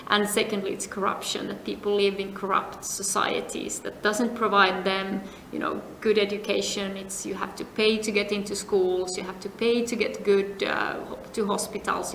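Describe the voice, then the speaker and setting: A middle-aged woman from Finland answering interview questions, speaking in a measured way with many pauses and phrase ends that fade to a near whisper. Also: medium pitch, flat delivery, gravelly, fairly bright tone. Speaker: interviewee, compliance advisor. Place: seminar venue. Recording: echoey room, faint noise.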